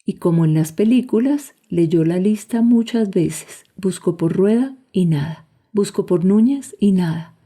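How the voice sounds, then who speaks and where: A woman's voice: mid-range voice, expressive, measured pace, few pauses, warm tone, husky. A woman narrates a scripted story as a podcast host. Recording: music behind the voice.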